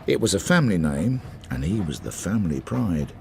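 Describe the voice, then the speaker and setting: Deep-voiced Victorian detective narrator. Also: male voice, very animated, brisk, creaky, a little mellow, slight background noise, full character voice. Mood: measured, wry. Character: Victorian male police sergeant narrating his inquiry, measured. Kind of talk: scripted radio drama narration.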